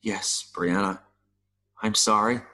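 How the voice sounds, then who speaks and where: Audio drama: male actor as a wistful, kindly mayfly, earnest and philosophical, unhurried delivery, slight stammer. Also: lowish voice, fairly even intonation, bright, forceful tone. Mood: tender regret. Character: gentle, wistful mayfly longing to see the world. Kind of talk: audio play acting.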